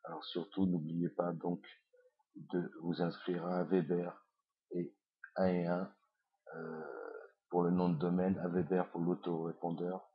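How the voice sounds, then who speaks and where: A man's voice: low-pitched, level tone, measured pace, slightly rough, lo-fi sound. A man with a head cold, giving a hesitant screencast tutorial as an online course instructor, with many fillers.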